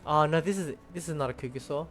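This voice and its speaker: A man's voice: a little high, quick pace, fairly bright tone. Man thinking aloud over game music. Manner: thinks aloud, brief reactions. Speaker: game streamer. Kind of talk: casual gameplay commentary.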